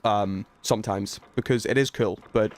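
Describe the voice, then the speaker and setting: A man's voice: lowish voice, fairly even intonation, moderate pace. A man chatting casually as a game streamer, rambling in a self-effacing, sweary way. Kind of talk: casual chat while streaming gameplay.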